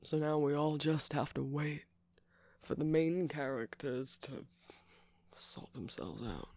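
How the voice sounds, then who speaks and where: A man voicing a smug, teasing villain's henchman in a romantic ASMR roleplay, breathy and gravelly, drawling and dropping into whispers close to the mic. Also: fairly high pitch, slow, a little mellow. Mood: resigned, smug. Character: teasing, jaded, smug male villain's minion cookie. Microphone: close microphone, dry sound.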